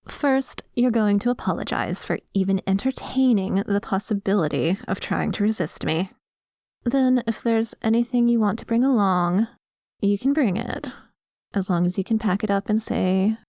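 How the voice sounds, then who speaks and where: A woman playing a dominant, obsessive vampire in a scripted ASMR roleplay, savouring her words with breathy sighs and slips into whispers, commanding and condescending. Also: mid-range voice, darkish tone, no room sound, clean studio sound, natural voice. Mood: commanding, menacing. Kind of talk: scripted ASMR roleplay audio, addressing the listener.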